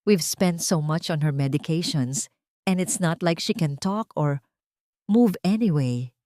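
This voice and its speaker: A woman's voice: deep voice, a little slow, warm tone, dry recording, studio quality. A woman voicing a cold, mocking younger woman who speaks with open disrespect in a dramatic audio story. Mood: cold, dismissive. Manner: mocking, disrespectful, mixing in English. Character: cold, mocking, heartless younger woman villain, a daughter-in-law.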